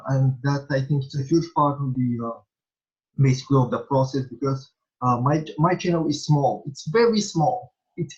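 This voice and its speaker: Halting young man with a Bulgarian accent. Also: fairly high pitch, darkish tone. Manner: halting, many fillers and restarts. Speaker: interviewee, small vlogger. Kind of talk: casual interview answers. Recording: dull lo-fi recording.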